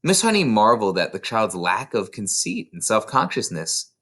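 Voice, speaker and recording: A man's voice: on the low side, big pitch swings, moderate pace, bright, forceful tone. A man reading a children's novel aloud as scripted narration. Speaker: storybook reader.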